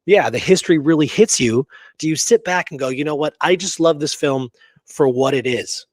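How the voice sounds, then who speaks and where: A man's voice: fairly high pitch, moderate pace, no echo, clean studio sound. A man co-hosting a history talk show, chatting casually and emphatically, often checking that his listener agrees. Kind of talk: casual chat about Western history and films.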